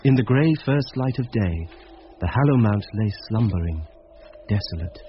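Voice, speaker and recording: Male actor as rational sleuth, radio mystery narration, measured, reflective, earnest, muffled sound, background noise. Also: on the low side, animated, natural voice. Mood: brooding. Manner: measured, reflective, talking things through to himself. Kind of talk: dramatic first-person radio drama narration and musing.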